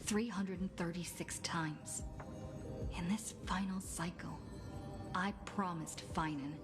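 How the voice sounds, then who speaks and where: A woman playing a solemn young game heroine, narrating her quest in a measured, grave way over music. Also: on the low side, steady tone. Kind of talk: scripted video game story narration. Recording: music under the voice.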